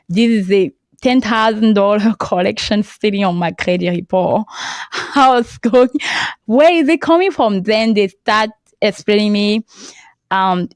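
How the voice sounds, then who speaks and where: A young woman from Cameroon, a French speaker with a Cameroonian accent, talking as a podcast guest in a halting way and laughing often. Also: mid-range voice, no echo. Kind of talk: casual interview talk.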